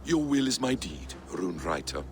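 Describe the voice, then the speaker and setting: An actor playing a sly, clever Norse trickster god in a cinematic video game. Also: male voice, fairly low pitch, very animated, moderate pace, slight background noise. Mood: sly, measured.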